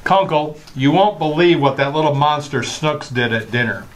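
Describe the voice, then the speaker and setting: Flustered sitcom father for radio male actor: lively swooping pitch, stammering, exasperated, eager to please. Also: moderate pace, natural voice, rough recording. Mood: aggrieved. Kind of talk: old-time radio comedy acting.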